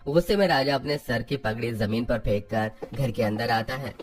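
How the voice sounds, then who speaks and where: Casting: male story narrator, mid-pitched, scripted delivery over faint music. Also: moderate pace.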